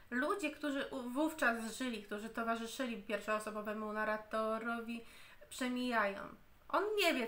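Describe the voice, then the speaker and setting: A woman in her thirties giving a spoken book review at home into a camera, slow and deliberate, often pausing and restarting. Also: a little high, sharp tone. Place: home room, indoors.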